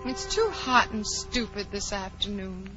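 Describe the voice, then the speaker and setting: A woman's voice: medium pitch, unhurried, music in the background, close to an everyday voice. An actress playing a curious, dreamy, chatty little girl who wonders aloud in a 1940s radio drama. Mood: bored, sleepy. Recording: muffled old 1940s radio recording.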